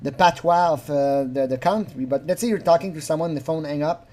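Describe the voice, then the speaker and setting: A man's voice: a little high, fairly quick, dark tone. A man giving informal, unscripted commentary on a recording, rambling and acting out quotes as he explains. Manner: rambling, animatedly acts out quotes. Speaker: commentator analysing a recorded phone call.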